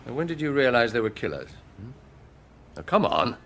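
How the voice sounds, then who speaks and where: A man acting in a British radio detective drama as an insistent, authoritative police chief who questions briskly and with impatience. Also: mid-range voice, very animated, creaky, fairly bright tone, heavily acted. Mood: stern, threatening. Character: authoritative male police chief, probing, impatient, menacing interrogator.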